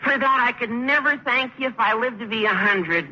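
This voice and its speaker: A man playing an earnest, gushing, tactless high school boy in a radio sitcom, with a cracking adolescent voice and flowery phrasing. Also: moderate pace, pushed and bright, heavily acted. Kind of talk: 1950s radio sitcom acting. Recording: dull, noisy vintage radio recording.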